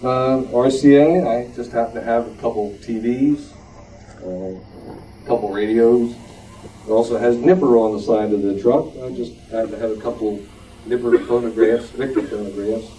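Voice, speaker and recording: A man giving an informal show-and-tell talk in a halting, meandering way, as a club member presenting a collectible. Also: medium pitch, mellow. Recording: distant mic, noisy room.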